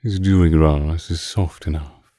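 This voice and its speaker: A man playing an ancient, suave vampire lord in a scripted roleplay drama, speaking with deliberate, condescending menace and archaic phrasing. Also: rumbling low, steady tone, dark tone, no room sound. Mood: amused, condescending. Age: older. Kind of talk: scripted roleplay audio drama, intimate close-mic monologue.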